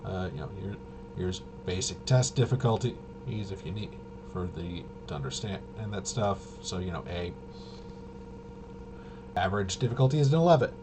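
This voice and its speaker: Casting: male rules explainer, lowish voice, halting off-the-cuff delivery that trails off, noticeably noisy recording. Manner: halting, trails off and self-corrects. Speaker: host. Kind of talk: casual rambling tabletop game rules walkthrough. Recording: clear steady background noise.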